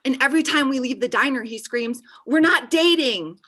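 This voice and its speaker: Actress as chatty, possessive best friend, thirty, female, bright forceful voice, comedy table read. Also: high-pitched, animated, moderate pace. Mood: gushing, teasing. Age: young adult. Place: indoor room on a video call. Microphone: video call.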